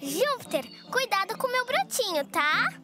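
A woman's voice: extremely high pitch, sing-song, unhurried, full character voice. A woman voicing a curious, bubbly little girl in a children's cartoon, eager and full of questions. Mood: cheerful. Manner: eager, inquisitive, exclaiming. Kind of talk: animated children's cartoon dialogue. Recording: music bed under the voice.

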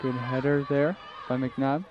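A man's voice: medium pitch, unhurried, highs cut off. Young man calling a soccer game. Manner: rising excitement on attacks, corrects himself often. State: strained voice. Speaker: play-by-play commentator. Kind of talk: live soccer commentary. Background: crowd noise.

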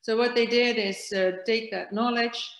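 A woman's voice: fairly high pitch, steady tone, measured pace, roomy sound, poor audio. A woman in her sixties giving a conference lecture on a webcam, hesitant, with many fillers and restarts. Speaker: keynote speaker on virus transmission. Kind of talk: conference lecture over slides. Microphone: webcam on a video call.

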